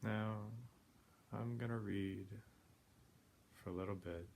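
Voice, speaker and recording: Slow, low-voiced man guiding meditation. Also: steady tone, a little mellow. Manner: unhurried, plain-spoken, long pauses between phrases. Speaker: meditation guide. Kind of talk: guided meditation, spoken softly paced. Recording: clearly noisy background ambience.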